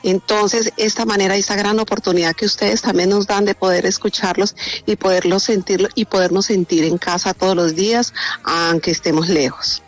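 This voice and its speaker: A woman's voice: mid-range voice, steady tone, moderate pace. Effusive Colombian woman calling in. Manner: effusive, chatty, laughs often. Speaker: radio caller. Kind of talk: warm phone-in chat.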